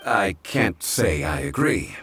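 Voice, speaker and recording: A learned gentleman from a fantasy game, very low-voiced and quietly assured. He speaks formally and with composure, yet his melody moves expressively. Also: sing-song, slow, slight background noise, full character voice. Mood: measured, matter-of-fact. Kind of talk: scripted video game dialogue.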